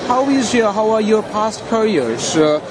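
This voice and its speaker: Man interviewer, slightly high voice, halting questions, heavy noise of a busy hall behind. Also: expressive. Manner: hesitant, many fillers and restarts. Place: busy trade show hall. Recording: loud crowd and noise behind.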